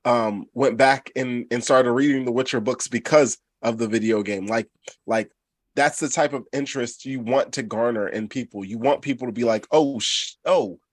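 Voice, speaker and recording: A man's voice: mid-range voice, normal speed, no room sound. A man on a podcast panel, making his case casually and emphatically, repeating words for stress. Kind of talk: casual opinionated discussion.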